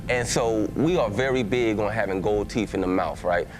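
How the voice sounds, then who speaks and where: Low-voiced Texas man giving testimony. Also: normal speed, background noise. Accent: Southern US (Texas). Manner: conversational, repeats words, many fillers, southern slang. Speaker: pastor. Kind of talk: personal testimony to a congregation. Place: church.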